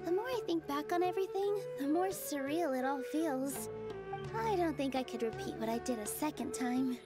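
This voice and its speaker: A woman voicing a gentle, sincere young dancer in a video game. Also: high-pitched, lively intonation, moderate pace, music in the background. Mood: reflective.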